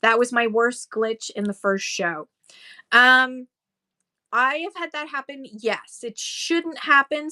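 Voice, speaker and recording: A woman's voice: on the high side, pushed and bright. A Canadian woman hosting a seller livestream, chatting casually and reading viewer comments aloud with frequent ums. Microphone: phone.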